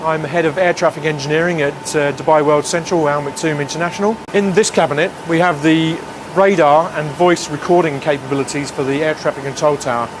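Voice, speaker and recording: A man explaining technical equipment in a clear-cut presentation as an engineering head. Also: fairly high pitch, fairly even intonation, brisk, hardly any pauses. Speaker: head of air traffic engineering. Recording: loud equipment and air-conditioning hum.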